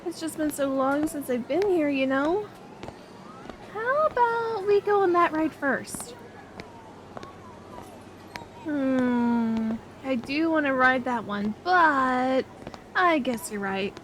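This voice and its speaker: A woman playing an expressive, girlish young best friend, sweet and sometimes hesitant, in an ASMR roleplay. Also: high-pitched, slow, pauses often, a little hiss or hum, full character voice. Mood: excited. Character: excitable young woman on an outing with her best friend, sweet and easily discouraged. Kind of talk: ASMR roleplay audio drama addressed to the listener.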